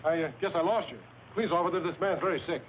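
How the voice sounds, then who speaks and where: An actor with an American accent playing a quick-witted, cynical man on the run in clipped, sardonic 1950s film noir style. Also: on the high side, slight background noise, natural voice. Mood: feigned concern. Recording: tinny band-limited old film soundtrack, music behind.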